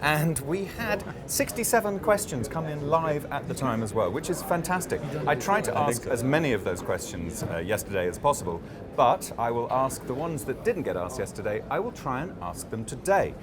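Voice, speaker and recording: A man's voice: mid-range voice, sing-song, moderate pace. A man hosting a live panel interview at a busy trade fair, upbeat and chatty. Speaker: host. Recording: crowd chatter behind.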